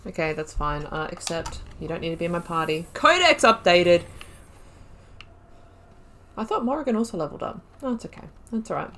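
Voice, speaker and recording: Gaming host, female, lowish voice, talks her choices through out loud, casual and rambly. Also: brisk, slight background noise. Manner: thinks aloud, rambling, corrects herself mid-sentence; casual and chatty with slangy asides. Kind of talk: let's-play commentary.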